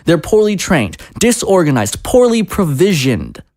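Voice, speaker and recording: An actor playing a presidential aide who speaks in a polished, rhetorical, conciliatory way. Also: male voice, fairly high pitch, big pitch swings, measured pace, darkish tone, no room sound, studio quality. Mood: persuasive. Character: smooth persuasive presidential aide, man.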